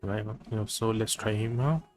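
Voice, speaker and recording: A man's voice: lowish voice, normal speed. A man giving casual live commentary on a game as a streamer, musing and thinking aloud. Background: faint game music.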